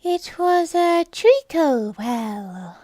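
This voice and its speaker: A woman voicing a sleepy, sulky little male dormouse in a storybook reading, with a slow, drowsy drawl. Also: extremely high pitch, very animated, darkish tone, no room sound, voice pushed up, heavily acted. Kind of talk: storybook character voice.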